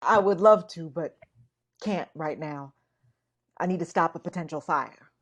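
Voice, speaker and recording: Halting, earnest young investigator. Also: female voice, deep voice. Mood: flustered, smitten. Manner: halting, flustered, earnest, wry. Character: awkward, smitten young privacy-gear seller and amateur investigator, Lavender. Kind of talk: naturalistic radio drama acting.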